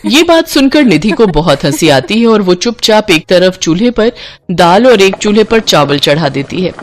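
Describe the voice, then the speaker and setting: A woman's voice: medium pitch, sing-song, normal speed. Woman narrating a scripted story.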